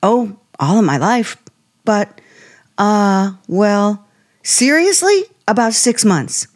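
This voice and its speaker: A nervous polite young woman, old radio suspense, slow n hesitant, lots of up and down. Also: mid-range voice, very animated. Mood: polite, shy. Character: timid, polite, nervous young female aspiring writer. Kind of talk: 1950s radio suspense acting.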